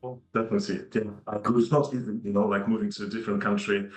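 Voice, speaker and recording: Brief: male guest, lowish voice, hesitant and easygoing, roomy echo, faint music. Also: level tone, fast. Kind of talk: casual video call interview.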